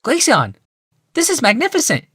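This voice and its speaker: A man voicing an earnest, emotional woman in an audiobook, exclaiming in a high voice. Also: huge pitch swings, normal speed, a bit bright, slightly rough, dry recording, studio quality, exaggerated voice. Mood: marveling.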